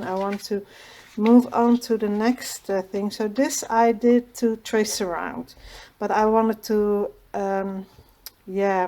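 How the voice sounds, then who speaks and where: A woman with a Dutch accent, a native Dutch speaker, hosting a casual crafting tutorial in a hesitant way, with many pauses and fillers and small laughs. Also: mid-range voice, dark tone. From Netherlands.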